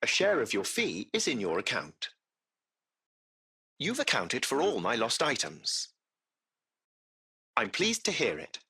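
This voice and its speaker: A man's voice: mid-range voice, huge pitch swings, unhurried, vocal fry, pauses often, pushed and bright, slightly rough, exaggerated voice. A man voicing a courteous, composed artificial intelligence that runs a taxi fleet, speaking in formal, precise, butler-like phrases for a video game. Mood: courteous, pleased. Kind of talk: scripted video game voice acting.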